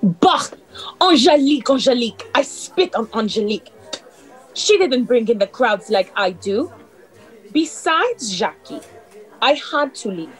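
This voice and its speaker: A woman's voice: on the high side, breathy edge, low-quality recording. A woman playing a vain, haughty cabaret singer with a theatrical manner in a radio play. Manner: self-admiring, theatrical. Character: vain, haughty French cabaret diva, Monique. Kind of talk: hobby radio play acting.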